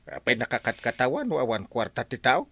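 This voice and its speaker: A man's voice: mid-range voice, huge pitch swings, a little fast, vocal fry, sharp tone, a little hiss or hum, full character voice. An actor playing a gloomy, sighing, penniless village man in a Filipino comedy drama, with an Ilocano accent. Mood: gloomy, irritated. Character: gloomy, sighing broke village man, brooding over money. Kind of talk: broadcast comedy drama acting.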